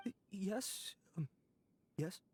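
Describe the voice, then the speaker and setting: An actress playing a cowardly, blustering small-time female bandit in a video game, with an excitable, flustered manner. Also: medium pitch, drawn-out words, slight background noise. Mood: nervous, remorseful.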